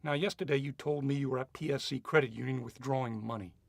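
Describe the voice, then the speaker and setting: A man's voice: mid-range voice, quick pace, creaky, no echo, lightly acted. A man playing a shrewd, cynical private investigator in a radio drama, both narrating and questioning in a noir style. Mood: probing, cool. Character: shrewd hard-boiled male private investigator, cynical and probing.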